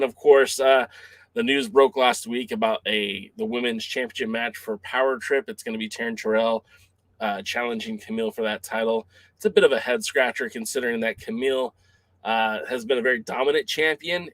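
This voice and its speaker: Hoarse man rambling through wrestling news. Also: mid-range voice, fairly bright tone, no echo. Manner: halting, many fillers and restarts. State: losing his voice, clearing throat. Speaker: podcast host. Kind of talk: casual wrestling news podcast.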